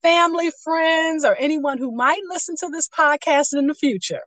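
A woman's voice: high-pitched, normal speed, fairly bright tone, no room sound. A woman speaking conversationally as the host of an interview podcast.

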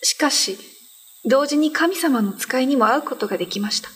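A woman playing a gentle, devout female prisoner in a radio drama, speaking politely and earnestly. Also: high-pitched, lively intonation, normal speed. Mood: grateful, solemn. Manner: polite, earnest, formal speech. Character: gentle, devout imprisoned woman, grateful and weary. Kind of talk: Japanese radio drama acting.

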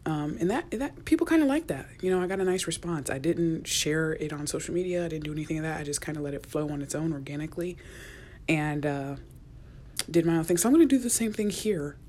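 A young woman, a college student, rambling in a casual solo podcast, chatty and often dropping to a near whisper, recorded in a quiet university office. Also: low voice, lively intonation, fast, slight background noise. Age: young adult. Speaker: podcast host.

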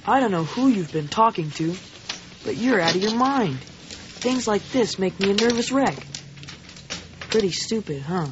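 A woman's voice: mid-range voice, lively intonation, unhurried, long pauses, background noise, close to an everyday voice. A woman voicing an awkward, self-conscious schoolboy who stammers, in a radio drama. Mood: earnest. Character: nervous, awkward popular schoolboy. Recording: slightly dull radio drama recording.

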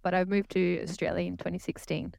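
A woman's voice: lowish voice, level tone, brisk, gravelly, darkish tone, no room sound. A woman with a Brazilian accent and native Portuguese, explaining things conversationally as a podcast guest.

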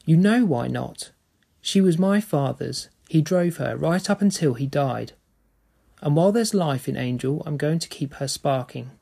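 Man reading as a placid mother, dismissive, soothing, husky, gravelly. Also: a little high, lively intonation, unhurried, dark tone, exaggerated voice. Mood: firm. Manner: soothing, dismissive, calls her daughter dear. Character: calm patient middle-aged mother, unbothered.

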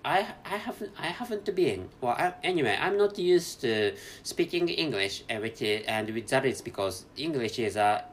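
A man with a Japanese accent talks alone into his podcast mic from a hotel room. His English comes slowly and haltingly, full of repeated words, false starts and careful self-corrections, at an ordinary middle pitch. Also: very animated, slight background noise. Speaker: podcast host. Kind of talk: unscripted solo podcast monologue.

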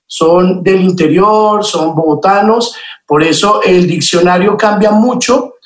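Male actor guest, high-pitched voice, casual interview explanations, roomy echo. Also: slow. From born in Mexico, grew up with Colombian and Mexican vocabulary. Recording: roomy, echoey sound.

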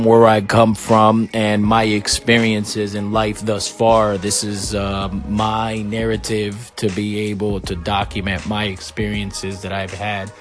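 A man in his thirties hosts a solo podcast in a low, steady voice that stays mostly flat. He speaks earnestly and at a measured pace, often pausing on "uh" and "um". Faint music plays underneath. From Puerto Rico. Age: 30s. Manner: earnest, measured life-story telling with frequent fillers. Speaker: podcast host.

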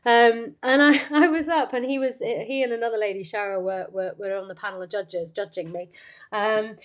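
A woman's voice: medium pitch, fairly even intonation, moderate pace. A woman hosting a podcast, talking through a motivational story in an unscripted, rambling way full of fillers and restarts. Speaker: podcast host.

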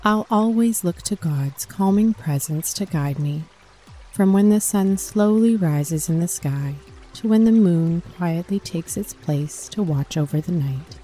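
A woman narrating a scripted guided sleep meditation for children in a gentle, calming, soothing manner. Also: fairly low pitch, a little slow, faint music behind.

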